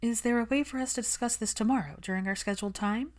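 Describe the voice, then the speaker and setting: A woman's voice: on the high side, quick pace, voice pushed up, close to an everyday voice. A woman voicing a guarded, defensive young woman who reasons carefully and uneasily in an audiobook. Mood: uneasy, careful. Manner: careful, argumentative, wordy. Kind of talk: audiobook character dialogue.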